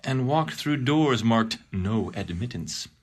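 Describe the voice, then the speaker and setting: A man playing a theatrical storybook narrator, reading comic Christmas verse in a measured, emphatic, sing-song rhythm. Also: medium pitch, expressive, darkish tone, a little hiss or hum. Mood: mock-dramatic. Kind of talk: dramatic poetry reading.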